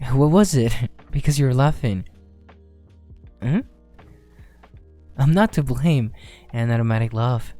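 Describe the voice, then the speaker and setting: A shy young werewolf boyfriend, acted by a man, speaks softly in a mellow, relaxed voice. He takes his time, hesitant and affectionate, in an intimate ASMR roleplay with music playing behind him. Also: mid-range voice. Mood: playful, bashful. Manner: hesitant, affectionate, soft-spoken, often chuckling. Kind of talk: soft romantic ASMR roleplay.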